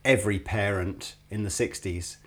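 A man's voice: lowish voice, fairly even intonation, normal speed, fairly bright tone. A man hosting a casual podcast interview, asking long, rambling questions full of fillers. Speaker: podcast host.

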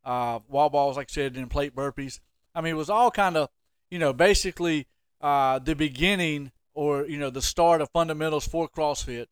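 A man hosts a relaxed sports podcast. His voice sits a little high and sounds clean and smooth, in a dry recording. He talks casually and likes to needle whoever he is chatting with. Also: normal speed, no room sound. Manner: teasing, ribbing. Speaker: podcast host.

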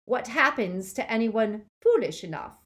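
A woman doing a cold, gloating dark lord from a storybook reading, his voice soft and silky with a snake-like hiss. He speaks higher and louder than the narration around him, rising and swooping as he mocks his enemies. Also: expressive, unhurried. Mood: cold, menacing. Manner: soft, silky, hissing menace.

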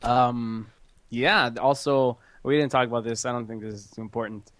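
A man's voice: medium pitch, steady tone, moderate pace, a bit bright. A young man from Canada hosting a gaming podcast in casual, joking chat, swearing and laughing often. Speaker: podcast host.

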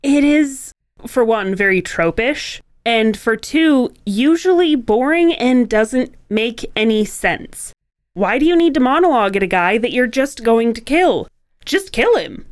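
Clear-voiced woman co-hosting, dry close sound. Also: on the high side, lively intonation, measured pace, dry recording. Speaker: podcast co-host. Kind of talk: conversational podcast discussion of writing craft.

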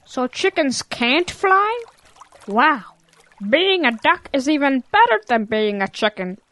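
A woman's voice: sits high, big pitch swings, takes it slowly, a bit bright, full character voice. A woman voicing a naive, eager young boy calf in an audio drama, childlike and pleading. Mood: curious, amazed.

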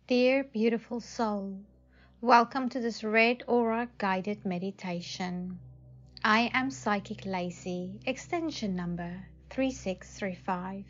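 A woman leading a guided meditation in a soothing manner, speaking in short phrases with long pauses. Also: medium pitch. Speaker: psychic advisor, meditation guide. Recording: slightly dull audio. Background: faint music.

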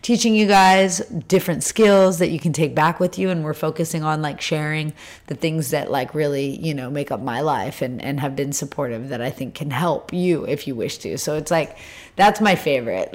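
Low, raspy-voiced woman guest; casual, filler-heavy chat. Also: moderate pace. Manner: rambling, lots of fillers. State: throat scar tissue, tires easily. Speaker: interview guest, retreat leader. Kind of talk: casual conversation.

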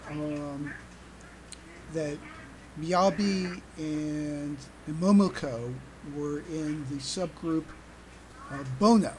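A podcast host chatting casually in a halting, stammering way, often repeating words. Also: mid-range voice, a little mellow, poor audio. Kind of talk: casual panel discussion. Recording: noticeable background noise.

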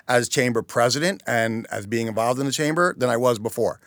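A man's voice: medium pitch, fairly quick, a bit bright, no room sound. A man speaking as a chamber of commerce president in a conversational interview, explaining things earnestly.

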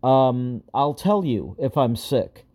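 A man hosting a casual solo podcast update, talking in a rambling, self-deprecating way full of fillers, his voice a little raspy and strained. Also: mid-range voice, animated, takes it slowly, darkish tone. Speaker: podcast host.

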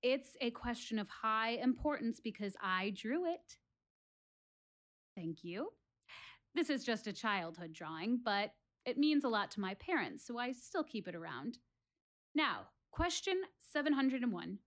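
A woman's voice: a little high, big pitch swings, unhurried, a bit bright. An actress playing an earnest, wry woman philosophizing to her theater audience in a dramatic one-woman monologue. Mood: playful, bright. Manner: dramatic, rhetorical, addressing listeners directly.

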